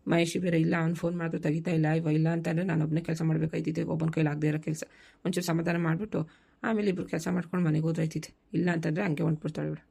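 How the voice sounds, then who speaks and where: A woman voicing a quick-tempered village farmer husband who bickers and coaxes in a family cartoon, with a rural southern Karnataka accent. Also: low voice, flat delivery, vocal fry, warm tone, breathy edge, full character voice. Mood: muttering, calculating. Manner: bickering, colloquial, nagging questions.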